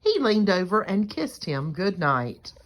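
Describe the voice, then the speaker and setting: A woman's voice: mid-range voice, sing-song, measured pace, poor audio. A woman teacher reading a picture book aloud to young children, explaining things in simple terms.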